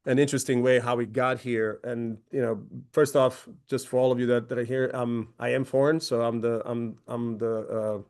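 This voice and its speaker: A man's voice: mid-range voice, little pitch movement, normal speed, few pauses, darkish tone, clear, resonant tone. A man in his forties from Croatia, a company founder giving a conversational presentation with many fillers and restarts. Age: 40s. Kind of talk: webinar presentation.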